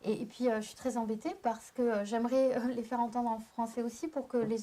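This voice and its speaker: A young adult woman from southern France talks about her poetry at a live panel. Her voice is mellow and fairly high, and she pauses and restarts as she works out her thoughts. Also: flat delivery, a little hiss or hum. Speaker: poet and panel guest. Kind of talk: round-table discussion. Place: live public venue.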